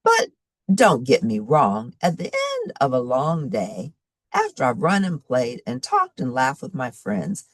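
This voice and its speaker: A woman plays an easygoing, amusing couch potato telling a children's storybook tale. The delivery is dramatic and unhurried, with huge swings in pitch. The voice has a creaky, gravelly edge. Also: huge pitch swings, dry recording, clean studio sound, exaggerated voice. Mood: reflective, cheerful.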